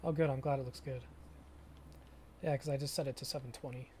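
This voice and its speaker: A young man chatting casually with viewers during a live stream of a farming game, often pausing and restarting his sentences. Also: mid-range voice, steady tone, darkish tone, slight background noise. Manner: halting, self-correcting, chatty with viewers.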